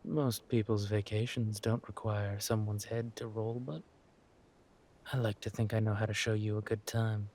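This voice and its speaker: A man playing a flirtatious, smug villain king in a roleplay audio drama, talking to his spouse in a husky, teasing, languid way. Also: on the low side, little pitch movement, darkish tone. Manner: teasing, languid, amused, drawn-out phrasing with murmured asides.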